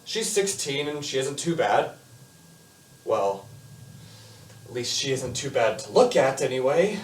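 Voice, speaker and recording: A man performing a stage monologue as a talkative, confiding teenage boy, with animated delivery and emphatic asides. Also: mid-range voice, a little slow. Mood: confiding, earnest. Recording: echoey room, far from mic.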